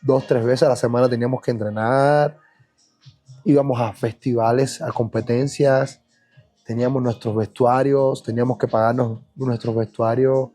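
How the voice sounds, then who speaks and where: A man from Cuba with a Cuban Spanish accent, a dancer recounting his childhood in a relaxed, reflective podcast interview, with frequent fillers and restarts. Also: mid-range voice, moderate pace, faint music behind. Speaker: guest dancer. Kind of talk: podcast interview, personal storytelling.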